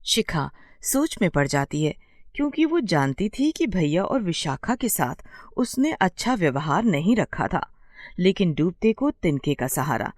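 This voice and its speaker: Expressive woman narrating a bedtime story. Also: lowish voice, unhurried, no echo, clean studio sound.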